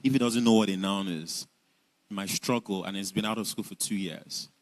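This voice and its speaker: A man's voice: fairly low pitch, normal speed. A young Nigerian man with a Nigerian accent, telling his life story in an earnest, reflective way. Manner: earnest, reflective, with light laughs. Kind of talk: personal storytelling talk.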